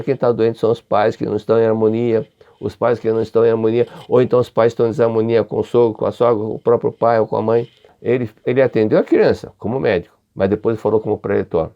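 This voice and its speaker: An older man with a Brazilian Portuguese accent giving a religious study lecture, unhurried and often repeating or restarting his phrases. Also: medium pitch, muffled recording.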